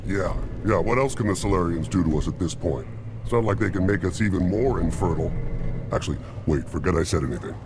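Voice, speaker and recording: Deep, gruff alien weapons scientist played by a man. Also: quick pace, music in the background, close to an everyday voice. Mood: grumbling. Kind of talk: video game character acting.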